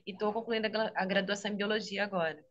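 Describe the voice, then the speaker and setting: A woman's voice: medium pitch, steady tone, fast talker, a bit bright, lo-fi sound. A woman from Minas Gerais with a Brazilian accent, a speech therapist and health teacher, explaining her work in a casual interview, often adding "né?" and laughing easily. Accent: Brazilian Portuguese, Minas Gerais. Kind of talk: casual interview over a video call. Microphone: video call.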